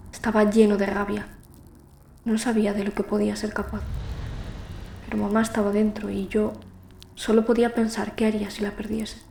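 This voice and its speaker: A woman acting as a lonely, frightened teenage girl telling her own story in a fantasy audio drama. The voice is dark and soft-edged, emotive in its storytelling, set in a roomy echo with faint noise behind it. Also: mid-range voice, vocal fry, lightly acted. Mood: urgent, afraid. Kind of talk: dramatic first-person audio fiction narration.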